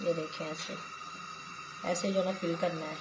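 A woman's voice: fairly low pitch, flat delivery, normal speed. A woman from Pakistan with a Pakistani Urdu accent, chatting casually as she explains her home cooking step by step. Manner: homely step-by-step talk, often saying "jo hai na". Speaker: village vlogger. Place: small room at home, sitting on a floor mat. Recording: echoey room with clear background noise.

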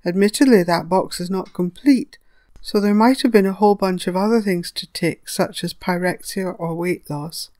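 A woman's voice: lowish voice, darkish tone, dry recording. Dry-sounding woman narrating a lesson. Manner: measured, didactic, explains step by step. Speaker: veterinary lecturer. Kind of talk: scripted educational narration.

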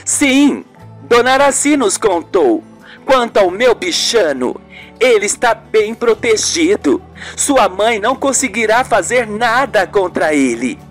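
A passionate radio soap heroine, put on by a solo performer, anguished and earnest. Her delivery is slow and melodramatic, with lively swings of pitch over a faint music bed. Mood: determined. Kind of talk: radio soap opera acting. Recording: music behind the voice.

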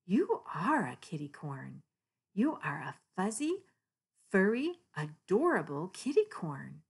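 A woman voicing a tiny, eager storybook kitten who believes she is a unicorn, exclaiming in short, excitable bursts. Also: fairly low pitch, slow, husky, exaggerated voice. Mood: joyful.

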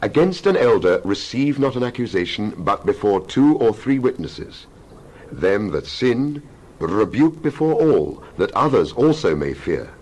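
A man's voice: on the low side, sing-song, measured pace, a little mellow, slightly rough, poor audio. A man giving a dramatized scripture reading with solemn, emphatic, oratorical delivery. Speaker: Bible reader.

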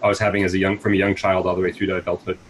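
A man's voice: low voice, completely flat delivery, very fast, a little hiss or hum. Thoughtful American man in his forties. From United States. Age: 40s. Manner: thoughtful, many fillers and restarts. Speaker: nonprofit CEO, guest. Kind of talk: reflective interview answers. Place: small room at home, indoors. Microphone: wired earbuds on a video call.

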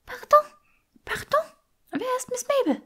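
Excitable, high-pitched French elf. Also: female voice, unhurried, heavily acted. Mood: shocked. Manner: breathless, theatrical, gushing. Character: excitable, dramatic French female house-elf servant.

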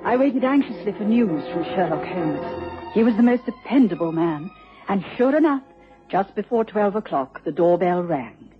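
An actress playing an anxious, earnest doctor's wife with a husky voice, telling her story in a radio drama. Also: medium pitch, lively intonation, normal speed, soft background music, natural voice. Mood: anxious, reflective. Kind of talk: radio drama narration and acting. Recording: dull lo-fi radio broadcast.

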